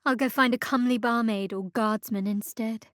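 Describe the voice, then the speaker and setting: A woman's voice: fairly high pitch, fairly even intonation, normal speed, no echo, close to an everyday voice. An actress voicing a guarded, sardonic young woman cleric in a fantasy video game, with a dry, wry and reserved delivery. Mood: wry, teasing. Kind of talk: video game character voice acting.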